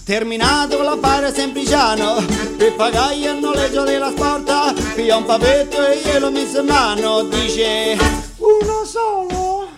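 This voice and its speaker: A man's voice: extremely high pitch, unhurried, over loud music. A man with a Roman Italian accent singing theatrical acoustic folk in a live performance, over plucked strings. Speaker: singer. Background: plucked string instruments.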